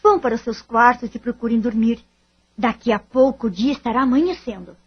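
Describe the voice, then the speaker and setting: A woman's voice: a little high, brisk, slightly rough, lo-fi sound. A woman playing a caring, worried middle-aged mother in an audio drama. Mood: soothing.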